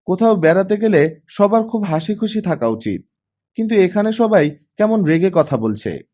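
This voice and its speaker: Audiobook role: indignant young teen girl, acted by a man, high-set for a male voice, swinging intonation, argumentative. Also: expressive, normal speed, dark tone. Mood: indignant, hurt. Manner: indignant, protesting, questioning back. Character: pouty, argumentative teenage girl, aged about fourteen. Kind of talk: audiobook character acting.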